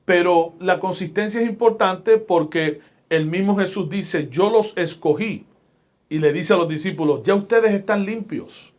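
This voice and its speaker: Emphatic Puerto Rican pastor, higher voice. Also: male voice. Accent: Puerto Rican Spanish. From Puerto Rico. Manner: deliberate, emphatic preacher's delivery with scripture quotes. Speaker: pastor and radio host, guest. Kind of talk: podcast interview, storytelling.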